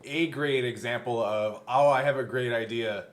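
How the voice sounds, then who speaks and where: A man with a mid-pitched voice in relaxed behind-the-scenes conversation. He tells things like an animated storyteller, slipping into quoted reactions as he explains his thinking as game master. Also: normal speed. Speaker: game master recalling a show's first season. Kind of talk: casual behind-the-scenes chat.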